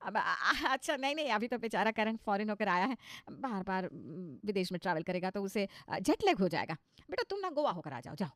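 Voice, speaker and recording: A woman's voice: mid-range voice, big pitch swings, brisk, no room sound, clean studio sound. A woman voicing an anxious middle-aged mother-in-law who puts on modern airs, coaxing and flustered, in a comic cartoon story. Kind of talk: cartoon comedy story dialogue.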